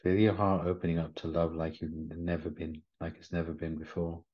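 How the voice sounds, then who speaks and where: A man leading a guided meditation in a gentle, soothing manner, with short unhurried instructions. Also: deep voice, little pitch movement. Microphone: video call. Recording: slightly muffled video call audio.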